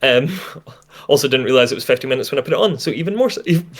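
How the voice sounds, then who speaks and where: A man's voice: medium pitch, animated, very fast. A man with a mid-range voice chats casually as a co-host. He sounds thoughtful and meandering, often rewording a point halfway through as he gives his review. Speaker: co-host of an audio drama discussion stream. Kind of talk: casual chat, reviewing an audio drama.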